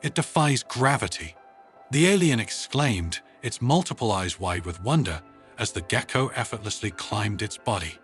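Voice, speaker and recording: A man's voice: on the low side, lively intonation, moderate pace, soft background music. A man reading a scripted story as its narrator.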